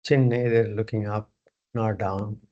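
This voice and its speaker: A man teaching yoga, guiding a meditation in short phrases with long pauses between them. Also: fairly low pitch, flat delivery, warm tone, dull audio. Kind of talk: guided meditation instruction.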